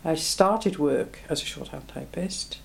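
A woman's voice: sits low, measured pace, vocal fry. A woman in her seventies, born in Belgium, recalling her life in an oral history interview, reflective and plain-spoken. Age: 70s.

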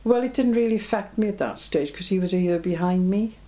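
A woman's voice: medium pitch, brisk, dark tone. A woman in her seventies, born in Belgium, recalling her life in an oral history interview, reflective and plain-spoken. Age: 70s.

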